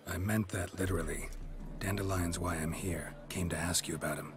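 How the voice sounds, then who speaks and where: A man acting a gruff, laconic monster hunter in a video game, terse and deadpan with dry wit. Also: sits low, a little fast, music in the background, close to an everyday voice. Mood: dry, probing.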